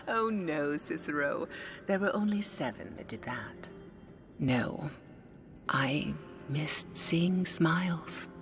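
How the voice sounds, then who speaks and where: A soft-spoken blind older songstress. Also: female voice, deep voice, lively intonation, soft background music, exaggerated voice. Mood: reflective. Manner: soft, measured, often whispery and intimate. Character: blind older songstress, wise and gently teasing. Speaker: game character. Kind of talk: video game voice acting.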